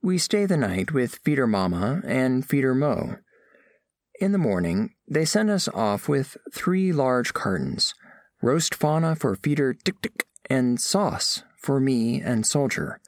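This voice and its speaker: A man's voice: mid-range voice, big pitch swings, takes it slowly, no room sound, studio quality. A man playing an earnest, formal alien scholar, narrating a scripted audio drama in precise, literal wording. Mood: earnest, observant. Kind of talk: scripted first-person narration.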